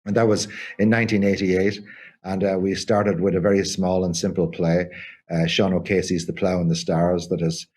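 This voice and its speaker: An older man, a theatre company co-founder, talking in an interview in a halting way with many repeated restarts. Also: deep voice, little pitch movement, darkish tone, slightly rough. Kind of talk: conversational interview.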